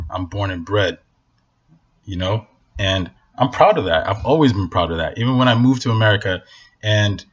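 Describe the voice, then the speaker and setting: Man, from Northern Nigeria, native Hausa speaker, husky voice, dull lo-fi recording, blunt impassioned vlog rant. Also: fairly low pitch. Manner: blunt, impassioned, repeats for emphasis. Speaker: vlogger. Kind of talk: casual opinion rant to camera.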